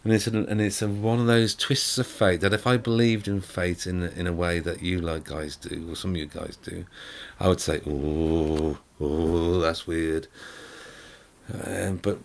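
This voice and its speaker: A man's voice: low voice, flat delivery, unhurried, creaky. A middle-aged English man vlogging from his living room sofa, chatty and rambling with lots of fillers and restarts. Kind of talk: casual vlog chat to camera. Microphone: camera in front, close framing.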